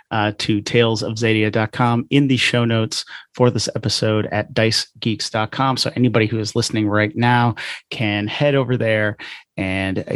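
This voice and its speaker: A man's voice: fairly low pitch, no room sound, studio quality. A man hosting a podcast in a conversational style, speaking directly to guest and listeners. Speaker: podcast host.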